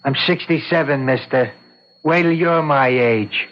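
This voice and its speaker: A man's voice: mid-range voice, measured pace, slight background noise, lightly acted. A man acting a talkative, stubborn eyewitness in his sixties, hesitant and folksy, in an old-time radio courtroom drama. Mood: stubborn, insistent. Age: 60s. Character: talkative sixty-seven-year-old male eyewitness, stubborn and plainspoken. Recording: dull lo-fi vintage radio recording.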